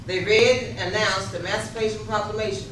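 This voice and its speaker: A woman reading historical narration for a readers theater, halting and stumbling over words now and then. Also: medium pitch, steady tone, a bit bright. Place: echoey room, live rehearsal. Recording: echoey, distant, noisy rehearsal room.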